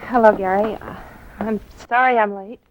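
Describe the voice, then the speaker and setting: A woman plays a poised young society wife in a 1940s radio mystery. She is guarded, direct and measured at a middling pitch, on a dull, hissy old recording. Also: fairly even intonation, natural voice. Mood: polite, composed. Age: 20s.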